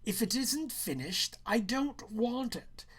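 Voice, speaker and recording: Man acting a sneering, high-pitched strict teacher. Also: big pitch swings, fairly bright tone, slightly rough, heavily acted. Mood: stern. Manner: mocking, curt, drawn-out emphasis.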